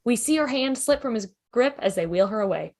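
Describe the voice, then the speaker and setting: A woman's voice: fairly high pitch, animated, brisk. A woman reading stage directions as scripted narration in a fan table read.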